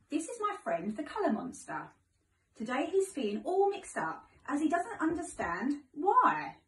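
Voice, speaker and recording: British female primary teacher, higher-pitched, gentle storytime delivery for little ones, recorded at a distance from the mic. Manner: expressive, gentle, simple phrasing for children. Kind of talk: storybook read-aloud for young children. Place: living room, indoors. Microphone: phone camera a few metres away. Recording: echoey room, far from mic.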